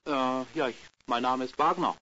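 A man's voice: mid-range voice, moderate pace, pushed and bright, lightly acted. A man playing talkative passers-by, a soldier and a mechanic, who answer questions with mild teasing, on a lo-fi recording. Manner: talkative, slightly teasing replies. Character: chatty man in mid-life, soldier or mechanic. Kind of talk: scripted language-course role-play. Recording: lo-fi tape with faint hiss.

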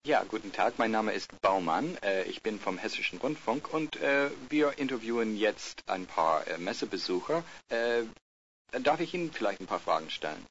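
A man's voice: fairly low pitch, expressive, normal speed, fairly bright tone. A man narrating a language course and acting out polite interviews, with many hesitation fillers, on a lo-fi recording. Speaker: course narrator and role-play speaker. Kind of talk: scripted language-course dialogue. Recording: lo-fi tape with faint hiss.

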